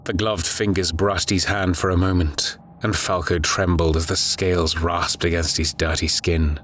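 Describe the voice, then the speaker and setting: Low, even-toned male audiobook narrator. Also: moderate pace, a little hiss or hum. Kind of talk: scripted dramatic horror narration.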